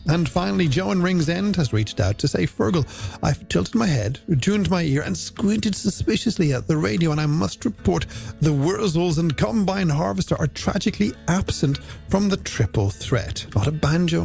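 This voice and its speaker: Playful male radio host, very animated delivery. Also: sits high, moderate pace, gravelly. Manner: playful, theatrical, wordy banter. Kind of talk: late-night music radio presenting with a phone-in game. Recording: music bed behind the voice. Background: music.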